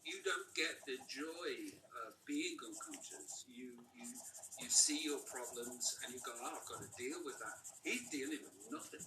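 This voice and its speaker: A man in candid conversation who talks haltingly, repeats his words and often drops to near whispers. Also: mid-range voice. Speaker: podcast guest. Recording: echoey distant audio with noise.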